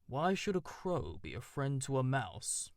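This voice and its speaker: A stern male owl with a deep, sonorous voice, as a man reading a storybook puts him on. He speaks slowly and formally, with a gravelly edge. The recording is close and dry. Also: big pitch swings, no room sound. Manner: formal, slow and measured.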